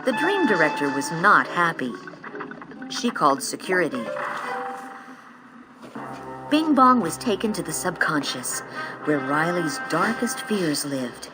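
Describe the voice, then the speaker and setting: Animated, lowish-voiced woman storyteller. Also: low-quality recording. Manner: expressive, measured storytelling. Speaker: storybook narrator. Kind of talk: scripted storybook narration. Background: music.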